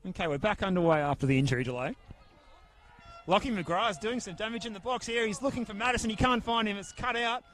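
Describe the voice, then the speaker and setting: Softly spoken aussie bloke doing match commentary, fairly high voice, right up on a handheld mic outdoors. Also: male voice, fast talker. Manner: soft-spoken, steady. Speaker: football commentator. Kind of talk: live football commentary. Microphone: handheld mic held very close.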